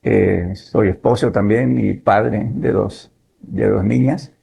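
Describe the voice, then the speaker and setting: A man in his fifties from Nicaragua, a pastor and missionary, telling his life story in a measured way and often adding "¿verdad?". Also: on the low side, steady tone, vocal fry, mellow, slightly rough. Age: 50s. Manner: measured, adds "¿verdad?" tags. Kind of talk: relaxed testimony in conversation.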